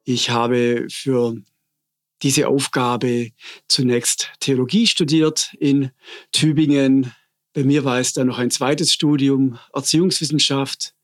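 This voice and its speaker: A man, speaking in a medium pitch. He answers interview questions as a hospital chaplain, thoughtful and unhurried, often pausing and hesitating to find his words. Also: fairly even intonation. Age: 50s.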